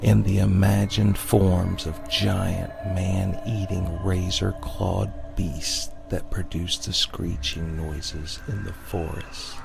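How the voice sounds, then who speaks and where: Deep, very gravelly male storyteller. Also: level tone. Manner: measured, dramatic. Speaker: storyteller, narrator. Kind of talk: scripted spooky story narration. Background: music.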